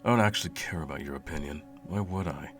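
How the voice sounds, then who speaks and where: A man playing a cruel, smug, all-powerful sorcerer in an ASMR roleplay, with a slow, condescending, menacing drawl and a gravelly voice. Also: extremely low pitch. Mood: mocking, domineering. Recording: music playing under the voice. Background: music.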